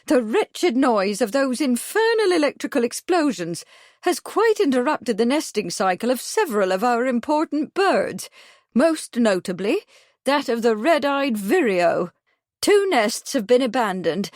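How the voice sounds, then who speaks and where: A woman voicing an imperious elderly spinster with a high, shrill voice, haughty and indignant. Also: sing-song, measured pace, dry recording, clean studio sound. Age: older.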